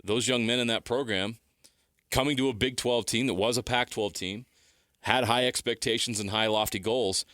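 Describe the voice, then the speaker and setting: A man's voice: medium pitch, fairly even intonation, moderate pace, fairly bright tone, no echo, studio quality. A middle-aged man co-hosting sports radio, making emphatic points by repeating and stacking phrases. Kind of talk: opinionated sports talk.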